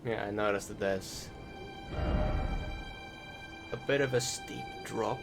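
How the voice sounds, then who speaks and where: A man narrates his gameplay in a casual, meandering way. His voice sits fairly low and has a frequent gravelly creak. He thinks aloud and second-guesses himself, with loud game music playing behind him. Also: takes it slowly. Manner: hesitant, thinking aloud, self-correcting. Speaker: gaming YouTuber. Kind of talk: casual rambling gameplay commentary, voiced over afterwards. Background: loud video game music.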